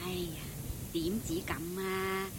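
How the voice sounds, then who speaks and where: A woman's voice: little pitch movement, a little slow, lightly acted. An actress playing an attentive, long-suffering wife and mother in a radio drama, with a Hong Kong Cantonese accent. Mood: exasperated. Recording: noisy old radio drama, low rumble.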